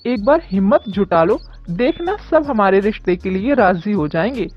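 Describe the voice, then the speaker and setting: A woman voicing a timid, earnest young man in love in an animated story. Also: mid-range voice, moderate pace, voice pushed down, lightly acted. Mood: pleading. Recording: dull lo-fi audio with music.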